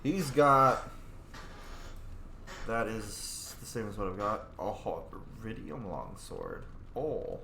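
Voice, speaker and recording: A man's voice: lowish voice, big pitch swings, slow pace, creaky, background noise. A man playing a game, casually thinking aloud and reading out his dialogue choices. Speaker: game player. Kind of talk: let's play commentary, reading dialogue choices. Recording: roomy echo.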